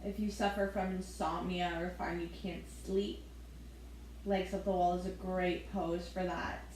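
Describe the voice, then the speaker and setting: A woman leading a gentle yoga class, giving soft step-by-step breathing cues with the odd light laugh. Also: lowish voice, flat delivery, measured pace, heavy reverb, slight background noise, low-quality recording. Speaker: yoga instructor.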